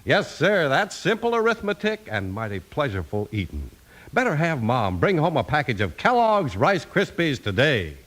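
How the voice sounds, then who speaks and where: A man's voice: a little high, wildly swooping pitch, normal speed, a bit bright. A man working as a 1950s radio announcer, reading scripted copy with a polished, emphatic salesman's delivery. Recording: old radio transcription. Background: music.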